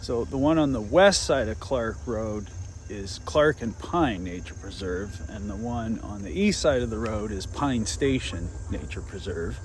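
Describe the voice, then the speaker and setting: Man hosting outdoors, insects and noise behind. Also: medium pitch. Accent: American. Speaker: host of local nature exploration videos. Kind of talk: casual on-location vlog narration with history asides. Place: outdoors in a nature preserve.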